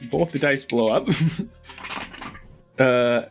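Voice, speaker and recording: A man's voice: medium pitch, takes it slowly, creaky. Casual male tabletop player, music behind. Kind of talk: casual tabletop role-play chat.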